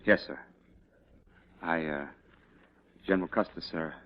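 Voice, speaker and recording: An actor playing a respectful, hesitant cavalry officer in mid-century dramatic style. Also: male voice, low voice, measured pace. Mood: dutiful. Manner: hesitant, deferential. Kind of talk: mid-20th-century American dramatic acting. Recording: dull, noisy old radio-era recording.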